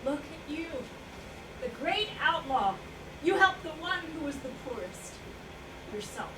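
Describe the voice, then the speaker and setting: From some distance in a noisy, echoing hall, an actress plays a scornful woman in a stage drama. Her voice is high, and she challenges with sardonic accusation. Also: big pitch swings, unhurried. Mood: accusing. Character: scornful woman confronting and questioning a wrongdoer. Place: live staged reading in a room. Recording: echoey, noisy hall recorded from afar.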